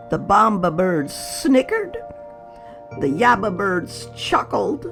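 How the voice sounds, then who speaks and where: Children's read-aloud narrator: expressive and very lively in pitch, slow delivery, light music behind. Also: creaky. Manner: expressive, unhurried, addressing young children.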